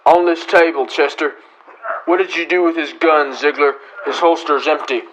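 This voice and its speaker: A man acting a stern, commanding western marshal in a radio drama, blunt and forceful, barking orders. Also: fairly high pitch, steady tone, normal speed, muffled recording, slight background noise, close to an everyday voice. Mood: stern, probing. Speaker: actor in a student radio drama.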